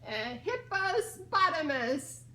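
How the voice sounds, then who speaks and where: A woman with a high, bright voice leads a children's story time at a very slow pace. She reads playfully and puts questions to young listeners in a giggly, coaxing way. There is clear noise in the background. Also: big pitch swings. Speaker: storybook reader, children's ministry director.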